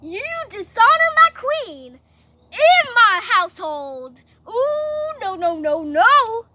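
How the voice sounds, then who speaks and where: Imperious Bible-story king, played by a young woman, high-voiced, drawn-out commands, emphatic outrage, noisy recording. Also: animated, exaggerated voice. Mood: outraged.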